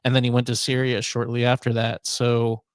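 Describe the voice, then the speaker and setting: A man's voice: medium pitch, completely flat delivery, moderate pace, clean tone, no room sound. Middle-aged American man, casual and dry-recorded. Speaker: podcast host. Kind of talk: casual chat.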